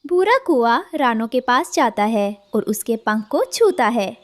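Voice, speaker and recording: A woman's voice: a little high, big pitch swings, measured pace, no room sound, clean studio sound. A woman narrating a scripted children's story.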